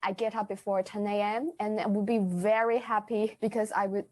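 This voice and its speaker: A woman's voice: mid-range voice, little pitch movement, moderate pace, darkish tone. Young Chinese-accented woman, earnest. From China. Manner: earnest, simple non-native phrasing. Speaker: guest, university student. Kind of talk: casual podcast chat.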